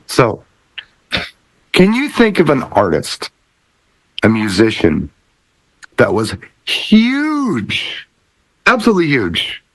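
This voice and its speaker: Man, lowish pitch, very lively intonation, dry close sound, casual host chat. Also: no echo, poor audio. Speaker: DJ talk show host.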